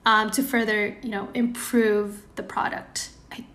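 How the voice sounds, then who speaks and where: A woman's voice: mid-range voice, level tone, unhurried, a bit bright. A woman from Indonesia speaking as a podcast guest, explaining things conversationally and often adding "you know". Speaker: podcast guest, product designer. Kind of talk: casual interview chat.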